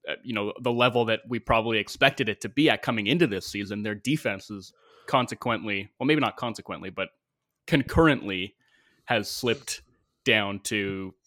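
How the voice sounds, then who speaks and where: A man's voice: on the low side, moderate pace, sharp tone. A man co-hosting a sports podcast, chatting casually in a teasing, emphatic and opinionated way. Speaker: podcast co-host.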